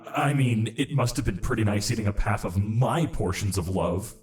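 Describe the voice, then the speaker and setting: A man's voice: lowish voice, moderate pace, a little mellow, echoey room, soft background music, natural voice. A man voicing a scrawny young male changeling, bitter and forlorn, muttering resentfully in a comic fantasy audio drama. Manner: muttering, sullen. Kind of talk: dramatic comedy fan fiction reading.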